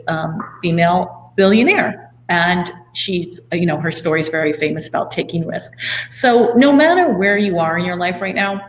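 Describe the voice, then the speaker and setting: A woman's voice: lowish voice, slight background noise, rough recording. A middle-aged woman co-hosting an online course webinar, presenting the offer in a conversational sales style. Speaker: co-host, business partner presenting a course. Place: indoor room at home, video call.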